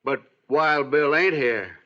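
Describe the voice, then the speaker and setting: A man's voice: fairly high pitch, lively intonation, slow, bright, forceful tone, full character voice. A man acting an obstinate, hostile small-town American constable in a 1950s Western radio drama, folksy, needling and self-important. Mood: smug, menacing. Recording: dull old radio recording.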